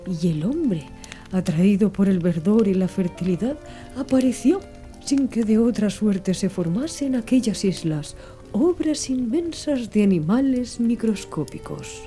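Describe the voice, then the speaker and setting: Casting: female literary audiobook narrator, slightly high voice, very warm and relaxed, expressive, measured delivery. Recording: music behind the voice. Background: background music.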